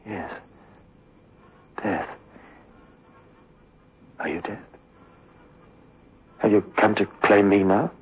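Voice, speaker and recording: A man's voice: lowish voice, fairly even intonation, very slow, long pauses, breathy edge, full character voice. An actor playing a tormented young man in his twenties in 1960s radio horror, stammering and desperate. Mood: fearful, hushed. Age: 20s. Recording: dull, muffled old radio recording.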